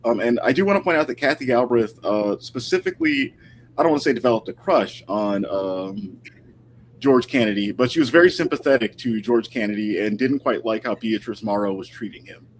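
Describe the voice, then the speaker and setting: Male historian guest, mid register, casual and thoughtful with halting phrasing; mildly noisy recording. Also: mid-range voice, sing-song. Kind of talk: casual interview talk.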